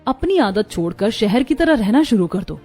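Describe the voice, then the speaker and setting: A woman's voice: on the high side, very animated, moderate pace, mellow, slightly rough, faint music behind. A woman voicing a haughty, scornful rich daughter-in-law in a cartoon story, curt and complaining. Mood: haughty scolding. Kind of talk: Hindi moral cartoon story dialogue.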